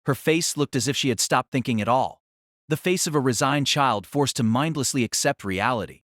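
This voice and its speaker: A man narrating a novel in a solemn, theatrical style with deliberate phrasing. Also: mid-range voice, fairly bright tone, no echo, studio quality. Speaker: audiobook narrator. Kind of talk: dramatic scripted novel narration.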